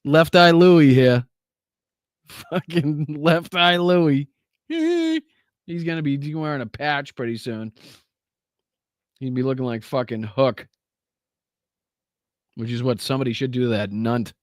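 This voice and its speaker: A man's voice: mid-range voice, animated, slow, long pauses, dry recording, studio quality. A man with a Boston accent hosting a livestream, commenting in a crude, profane, mocking way. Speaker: livestream host. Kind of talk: live reaction commentary.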